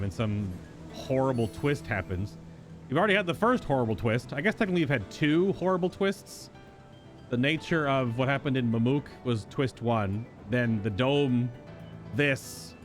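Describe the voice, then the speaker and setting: A man with an average-pitched voice commentates on a game he is playing live. He rambles in a wry, animated way, thinking aloud as he reacts. Game music plays clearly behind him. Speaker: game streamer.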